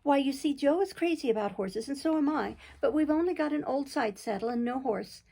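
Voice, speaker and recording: A woman as a chatty, horse-mad girl, creaky-edged. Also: high voice, animated, brisk, warm tone, voice pushed up. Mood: eager. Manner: eager, cheerful.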